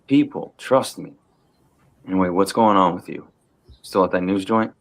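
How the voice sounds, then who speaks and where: A man reading the part of a tough, watchful, gruffly protective uncle from a crime family at a film table read. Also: low voice, normal speed. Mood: guarded. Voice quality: gravelly.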